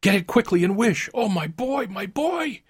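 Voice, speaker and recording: A man's voice: sits high, sing-song, moderate pace, breathy edge, pitched above the performer's own voice, full character voice. A man voicing a grief-stricken old wife in a storybook, feverish, desperate and urgently crying out. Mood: desperate, frantic.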